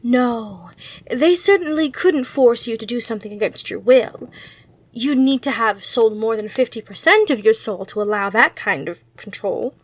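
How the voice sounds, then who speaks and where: A woman's voice: fairly high pitch, lively intonation, normal speed. A woman voicing a sly, courteous female demon hotel receptionist in an ASMR roleplay, with reassuring customer-service patter. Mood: reassuring, coaxing.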